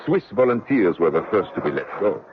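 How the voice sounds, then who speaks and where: A man's voice: low voice, very animated, brisk. An actor playing an affable, talkative traveling salesman and war veteran in an old radio drama, polite and a little hesitant. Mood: chatty, guarded. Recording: muffled radio drama recording with noise.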